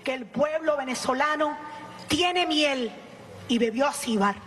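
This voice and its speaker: Woman slowly declaiming verse. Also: high-pitched, a bit bright, slight background noise. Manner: slow, declamatory, pauses between phrases. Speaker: poet reciting at an event. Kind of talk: poetry recitation. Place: auditorium. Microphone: podium microphone.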